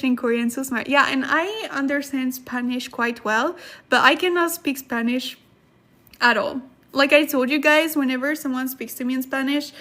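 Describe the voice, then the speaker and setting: High-pitched, giggly woman livestream host. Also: fairly bright tone. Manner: giggly, chatty, repeats herself for emphasis. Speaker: livestream host, language study partner. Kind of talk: casual livestream chat and lesson, reading viewer comments.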